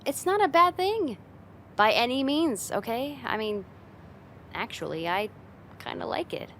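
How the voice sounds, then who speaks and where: A confident young woman played by a female voice actor teases the listener in an ASMR roleplay. Her pitch moves around a lot and her delivery is casual and playful, with a touch of fond exasperation. A faint low rumble sits behind the voice. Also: big pitch swings, unhurried, fairly bright tone, exaggerated voice. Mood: soft, affectionate. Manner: playful, teasing, casual, giggly, lightly exasperated. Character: teasing, confident young woman, a friend's older sister.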